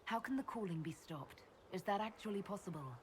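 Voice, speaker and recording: A woman's voice: medium pitch, animated, a little fast, noisy background, lightly acted. An actress playing a curious, direct female leader in a fantasy video game cutscene. She asks short, direct questions. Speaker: player character, leader of an order.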